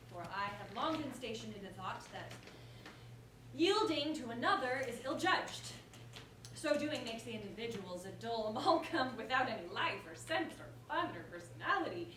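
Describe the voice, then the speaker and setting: A woman's voice: on the high side, a bit bright. A woman playing a proud, witty common woman in a staged verse comedy, with emphatic theatrical delivery. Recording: roomy hall, distant mic, clear noise.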